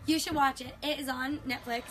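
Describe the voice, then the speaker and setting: Young adult woman, high voice, enthusiastic co-host, outdoor deck with clear noise. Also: steady tone, a little fast. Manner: enthusiastic, frequent affirmations. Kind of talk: casual chat.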